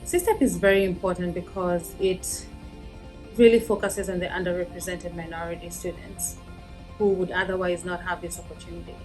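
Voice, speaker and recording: A woman professor from Kenya, explaining her research in a measured way with frequent pauses and ums. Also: on the low side, fairly even intonation, soft background music, low-quality recording. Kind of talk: interview about research.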